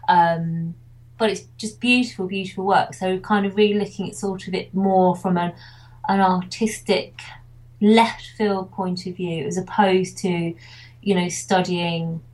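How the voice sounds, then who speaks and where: A woman's voice: fairly low pitch, a little slow, low-quality recording. Rambling English woman photographer. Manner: rambling, restarts often. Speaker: wedding photographer. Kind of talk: casual interview.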